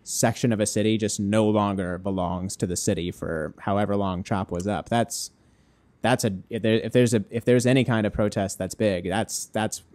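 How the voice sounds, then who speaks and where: A man's voice: lowish voice, fairly even intonation, normal speed, darkish tone. A man chatting casually as a podcast co-host. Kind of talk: casual chat.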